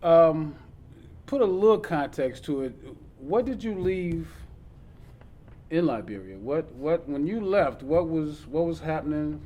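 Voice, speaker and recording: A man's voice: on the high side, expressive, unhurried, dark tone. A man hosting an interview, asking questions in a loose, conversational way. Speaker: interview host. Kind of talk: casual interview.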